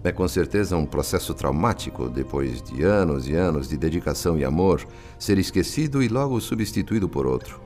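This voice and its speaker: A very low male voice with lively intonation plays a kind, earnest spirit in a Brazilian radio drama. He is formal and considerate, with a Brazilian accent. Faint music sits behind him. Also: fairly quick, hardly any pauses. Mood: compassionate, probing. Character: André, compassionate, respectful male spirit, a worried father.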